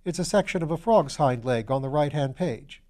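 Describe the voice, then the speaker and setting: A man's voice: a little high, animated, moderate pace, warm tone, close to an everyday voice. A man reading a storybook as a flustered, eager, self-deprecating young upper-class English student who talks in old-fashioned slang. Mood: recalling, eager.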